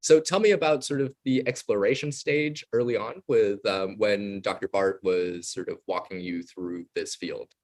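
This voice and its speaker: A man's voice: fairly low pitch, normal speed, hardly any pauses. A man hosting a student spotlight interview, giving introductions and asking polite, open questions in a conversational way. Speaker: interview host. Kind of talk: polite scripted-then-casual interviewing.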